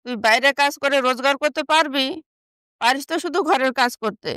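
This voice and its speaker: A woman voicing a bossy, scheming young sister in an animated moral story, with a scornful, scolding manner. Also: high voice, fairly quick, no echo, clean studio sound.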